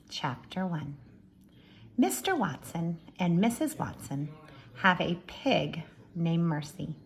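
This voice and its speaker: A woman reading a storybook aloud to young children like a teacher, expressive, with friendly asides to her listeners. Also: on the low side, a little hiss or hum.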